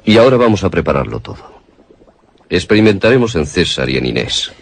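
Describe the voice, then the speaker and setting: Un actor con acento castellano interpreta en un radioteatro de suspenso a un científico padre de familia, implacable y atormentado, de tono teatral y autoritario. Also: male voice, low-pitched, big pitch swings, a little fast, vocal fry, heavily acted. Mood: cold, commanding. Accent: Castilian Spanish. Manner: theatrical, authoritative. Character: ruthless, tormented scientist father justifying monstrous experiments. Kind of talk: suspense radio drama acting. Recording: long reverberation, music underneath.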